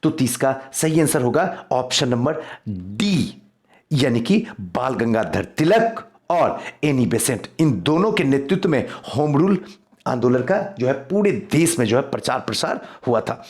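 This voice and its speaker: A man's voice: medium pitch, sing-song, a little slow, a little mellow. A man teaching a quiz-style exam lesson, reading out questions and answers, repeating key phrases and addressing students directly.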